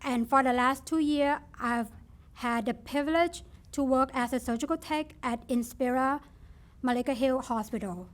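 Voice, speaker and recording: A woman's voice: high voice, moderate pace. A young woman from Thailand with a Thai accent, giving a prepared speech as a nursing student at a ceremony.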